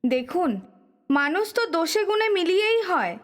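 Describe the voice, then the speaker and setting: High, animated, evasive hostess. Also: female voice, normal speed. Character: evasive woman, polite, secretive hostess. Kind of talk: Bengali audio drama acting.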